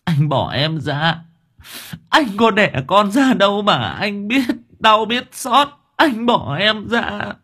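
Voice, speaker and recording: A man acts out a distraught young mother in an audio ghost tale. The voice is pitched high and breathy, tearful yet defiant. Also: sing-song, slow, sharp tone, heavily acted. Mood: tearful protest. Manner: sobbing, protesting.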